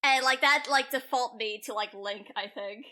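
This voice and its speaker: Bright, high-pitched young American woman. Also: normal speed. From Pennsylvania, United States. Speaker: podcast guest. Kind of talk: casual chat, personal anecdotes.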